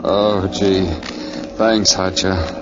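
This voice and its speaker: A man's voice: sits low, little pitch movement, very slow. An actor playing a good-natured, joking young airman in a 1940s radio drama. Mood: groggy. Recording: muffled 1940s radio recording, music behind.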